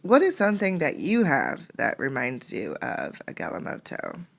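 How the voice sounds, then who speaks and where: Low-pitched woman reading a storybook, slightly creaky. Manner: expressive narration, pausing to pose simple questions. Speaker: teacher reading a picture book aloud. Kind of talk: storybook read-aloud with questions to children.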